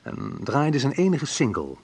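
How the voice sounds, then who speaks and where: An actor playing a reflective man in a naturalistic radio drama, talking in a conversational, hesitant, wry way with a husky voice. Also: medium pitch, wildly swooping pitch, unhurried, a little mellow, exaggerated voice. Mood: wry, nostalgic. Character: Menno, reflective man recalling a school friend. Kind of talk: naturalistic radio drama monologue and phone talk.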